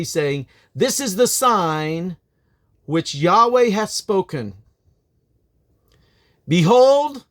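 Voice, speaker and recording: Higher-pitched man reading scripture aloud. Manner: emphatic, declamatory on quoted speech. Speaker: Bible reader.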